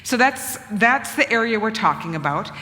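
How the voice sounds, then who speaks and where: A woman's voice: mid-range voice, expressive, pushed and bright. Earnest woman bishop in a reverberant church. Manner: earnest, measured, thinks aloud with restarts. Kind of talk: conversational church talk. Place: church sanctuary. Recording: long reverb of a church hall.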